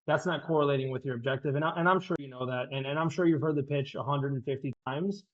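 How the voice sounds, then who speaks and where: Persuasive fast-talking salesman on a call. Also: medium pitch, lo-fi sound. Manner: persuasive, confident, repeats words as he starts sentences. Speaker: real estate agent and sales coach.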